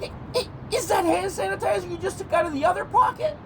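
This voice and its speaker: A man's voice: extremely high pitch, fast, noisy background. A man playing an exasperated, sarcastic monster hunter who talks in exclamations, in a comedy horror audio drama. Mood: exasperated, incredulous.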